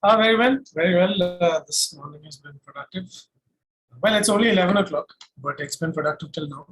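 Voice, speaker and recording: Very high-pitched Indian man, reflective. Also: a little fast, fairly bright tone, low-quality recording. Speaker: guest, singer-songwriter. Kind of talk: reflective interview conversation. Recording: faint background noise.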